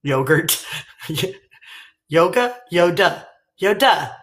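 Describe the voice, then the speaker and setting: Texan woman host, lowish lively voice, loose and profane. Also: extremely slow. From Texas. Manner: chatty, swearing, teasing, laughing. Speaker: livestream host. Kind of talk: casual live chat.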